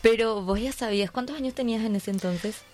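A woman's voice: a little high, a little fast, a little mellow. Paraguayan woman hosting radio over music. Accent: Paraguayan Spanish. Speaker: radio host and interviewer. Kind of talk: live radio presenting and interviewing.

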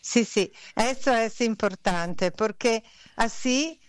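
A woman's voice: a little high, slow pace. An Italian woman, a guest artist, speaking Spanish as a second language in a casual interview, hesitant and searching for words. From Italy.

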